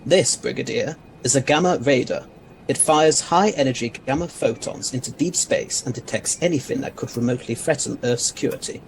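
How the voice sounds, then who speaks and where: A man playing a proud, eccentric alien scientist and time traveler in an audio drama, gravelly and formally courteous. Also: medium pitch, a little fast, a little hiss or hum, close to an everyday voice. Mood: courteous, absorbed.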